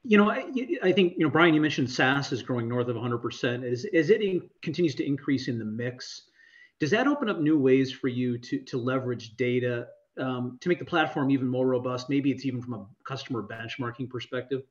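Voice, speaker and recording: Slightly muffled, lo-fi call audio carries a man's medium-pitched voice. He asks informal questions as an analyst on an earnings call. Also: brisk.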